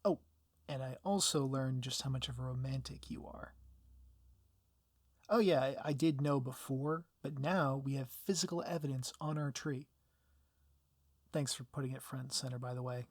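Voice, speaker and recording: A man playing a reformed villain turned sappy, dorky sweetheart in an ASMR roleplay, speaking softly and intimately with gentle teasing. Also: medium pitch, moderate pace, long pauses, dry recording, studio quality, close to an everyday voice. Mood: teasing, tender.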